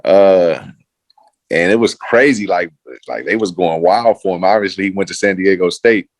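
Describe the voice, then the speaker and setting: A man's voice: sits low, normal speed, no room sound. A man being interviewed about his football career, talking casually and loosely with lots of fillers and slang. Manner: laid-back, slangy, trails off with fillers. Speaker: interview guest, former football player. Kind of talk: casual conversation.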